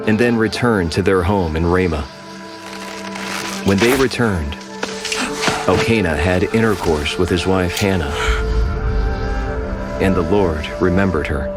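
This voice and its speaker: A man giving measured, solemn scripted scripture narration over strong music. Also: low-pitched.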